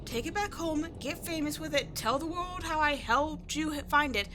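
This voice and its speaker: A woman acting in a roleplay audio drama as a brash young tomboy archaeologist with a soft side, animated, slangy and teasing. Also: sits high, normal speed, noisy background, close to an everyday voice. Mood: determined.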